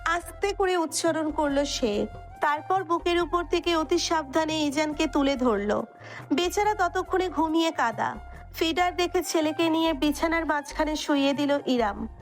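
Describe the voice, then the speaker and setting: High-voiced woman narrating a romantic story. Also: a little slow. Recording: music under the voice. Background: background music.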